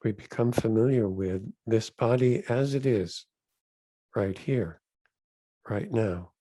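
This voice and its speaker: A man leading a guided meditation as a rabbi, phrasing each instruction gently and deliberately, with pauses between short phrases. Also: fairly low pitch, no room sound.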